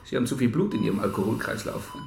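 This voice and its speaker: An actor playing a brisk, protective male hospital doctor in a radio crime drama, clipped and matter-of-fact. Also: mid-range voice, animated, a little mellow, roomy sound, faint music behind, lightly acted.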